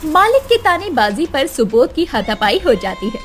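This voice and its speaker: A woman's voice: sits high, very animated, normal speed, faint music behind. A woman narrating a story in a dramatic, scripted storytelling style.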